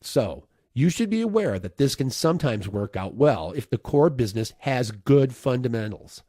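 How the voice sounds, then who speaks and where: A man reading a nonfiction audiobook as narrator, in a measured, instructive way. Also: medium pitch, very animated, dark tone, dry recording, studio quality.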